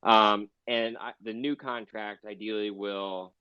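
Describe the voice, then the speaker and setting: A man co-hosting a casual interview, asking questions in a halting, tentative way. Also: fairly low pitch, little pitch movement, clear, resonant tone, dry recording. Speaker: interviewer, co-host. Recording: slightly dull online audio chat.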